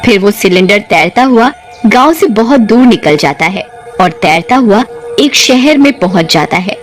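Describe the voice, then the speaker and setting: A woman's voice: medium pitch, animated, normal speed, faint music behind. A woman narrating a scripted cartoon ghost story in a dramatic storytelling style.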